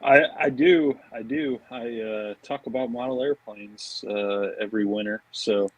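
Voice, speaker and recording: Laid-back guy guesting on a podcast, talks really slow, bit of a husky voice. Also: lowish voice, fairly even intonation, dull audio. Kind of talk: casual chat.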